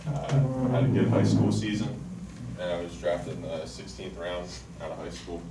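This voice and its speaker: A young man from New Jersey with a very deep voice answers questions softly and hesitantly. He is heard from far away in an echoey room with noise behind him. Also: fairly even intonation, moderate pace. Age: young adult. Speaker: baseball player.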